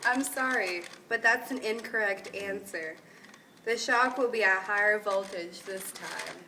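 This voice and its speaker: A woman voicing an earnest experiment participant who administers shocks in an amateur puppet show, in a measured scripted delivery. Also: medium pitch, pushed and bright, recorded from a distance, poor audio. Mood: polite, apologetic. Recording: clear background noise.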